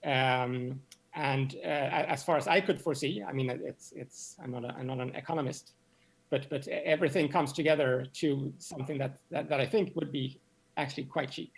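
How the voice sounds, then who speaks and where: Creaky-voiced man explaining haltingly. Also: medium pitch, steady tone. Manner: halting, stammering over repeated words. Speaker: interview guest, astronomy professor. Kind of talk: spoken explanation in an interview.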